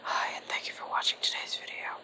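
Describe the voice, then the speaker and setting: A man whispers a scripted outro as an ASMR video creator. He moves along quickly, keeping the voice hushed and breathy throughout.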